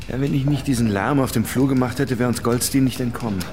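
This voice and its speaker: A man's voice: medium pitch, fairly even intonation, fast talker, loud music behind the voice, lightly acted. An actor playing a reserved junior policeman in a German radio drama. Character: reserved junior male policeman, grateful to his partner. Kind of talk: German radio play acting.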